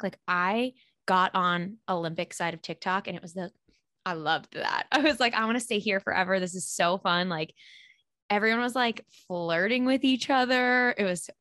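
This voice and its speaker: A young woman hosting a casual podcast chat, bubbly and quick to laugh, with lots of "like" in her speech. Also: mid-range voice, fairly bright tone, dry recording, studio quality. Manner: bubbly, laughs often, heavy use of "like". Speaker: podcast host.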